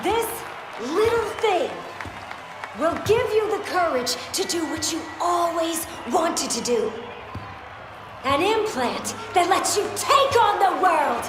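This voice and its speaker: A theatrical, taunting villainess in a video game cutscene, extremely high and wildly animated. She sells her menace with showy glee and slow, drawn-out delivery over a noisy crowd. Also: full character voice. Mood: showy salesmanship. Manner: showy, taunting sales pitch. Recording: echoey, loud music and crowd behind.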